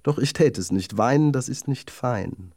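A man's voice: medium pitch, expressive, normal speed, a little mellow, no echo, clean studio sound. A man voicing a vain, haughty young lady darning needle in a children's fairy tale, proud and genteel in manner. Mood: self-admiring. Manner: proud, self-satisfied, genteel.

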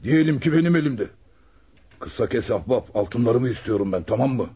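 A man's voice: mid-range voice, lively intonation, moderate pace, dark tone, slightly rough. An actor playing a bullying, hot-tempered male gang boss in a radio play, taunting and giving orders. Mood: cold, commanding. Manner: taunting, commanding. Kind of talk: Turkish radio theater acting. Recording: dull lo-fi radio recording.

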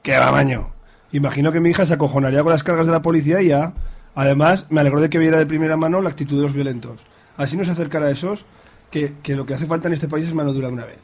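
A man's voice: on the high side, fairly even intonation, very fast. A man acting a blustery, bigoted middle-aged conservative father with a Spanish accent in a radio drama. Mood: grumbling. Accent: Spain. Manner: blustery, grumbling. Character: grumbling bigoted hard-line conservative father. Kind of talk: colloquial radio drama dialogue.

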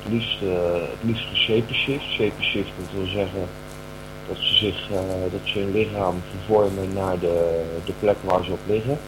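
Over a clearly humming, noisy recording comes a fairly low-voiced actor as an earnest believer, a man in an audio play. He rambles hesitantly, pausing and repeating himself as he reassures and explains. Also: steady tone, lightly acted. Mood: earnest, awed. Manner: hesitant, rambling, many pauses and repetitions. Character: earnest believer in friendly aliens, reassuring companion. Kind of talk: improvised-sounding Dutch audio drama monologue. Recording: noisy, with loud mains hum.

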